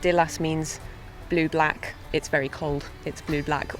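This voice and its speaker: A young woman, a harpist, chatting in a relaxed way with light laughs while out walking on a hillside. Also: low-pitched, measured pace, faint music behind. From born in England, raised in mid Wales. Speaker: harpist and guest. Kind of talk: casual walking interview. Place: outdoors on a hillside walk. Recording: faint outdoor noise.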